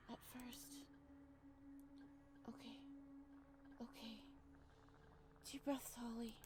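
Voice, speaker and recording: A woman voicing a frightened young woman in a video game, talking anxiously and haltingly to soothe herself. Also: on the high side, dark tone, slight background noise.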